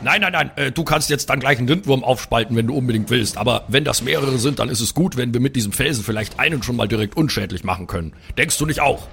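Gravelly man, bossy tabletop player. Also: medium pitch, fast talker, few pauses, a bit bright, a little hiss or hum. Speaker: player in a tabletop role-playing podcast. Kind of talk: improvised in-character tabletop play and banter.

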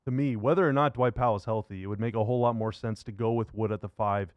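A man's voice: on the low side, steady tone, quick pace, dry recording, lo-fi sound. A man hosting a sports radio show, talking in a casual, conversational way about basketball. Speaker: sports radio and podcast host. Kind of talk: upbeat show intro and basketball interview.

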